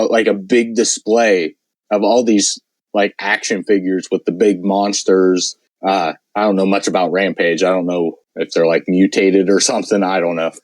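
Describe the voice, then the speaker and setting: A man co-hosting a podcast in casual chat, rambling with many fillers, his voice worn and hoarse. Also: sits low, darkish tone, dry recording. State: losing his voice. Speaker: podcast host.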